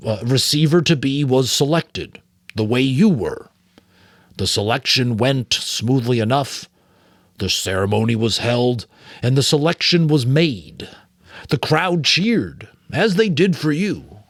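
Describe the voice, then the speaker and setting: A man voicing a weary, wise old male mentor from an audiobook novel, gentle and measured. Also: medium pitch, no echo, clean studio sound, pitched above the performer's own voice, full character voice.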